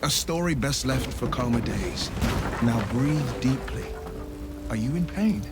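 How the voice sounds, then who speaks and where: An actor playing a gallant, earnest young warrior hero in a video game, courtly and gently teasing in his speech. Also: mid-range voice, expressive, unhurried, loud music behind the voice, lightly acted. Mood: concerned, resolute. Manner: courtly, eloquent phrasing with soft hesitations. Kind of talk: video game dialogue acting.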